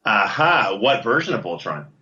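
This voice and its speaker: A man's voice: fairly low pitch, big pitch swings, moderate pace, bright, forceful tone. A man chatting casually as an interview guest, playful and joking as he talks things through, on a dull recording. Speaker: podcast producer and interview guest.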